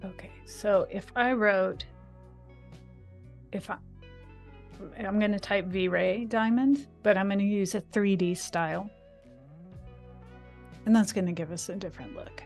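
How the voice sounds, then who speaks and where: Enthusiastic woman demoing software on a call. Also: medium pitch, fairly even intonation, unhurried, pauses often, soft background music. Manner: chatty, enthusiastic. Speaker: guest designer demonstrating software. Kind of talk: live screen-share tutorial. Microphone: video call.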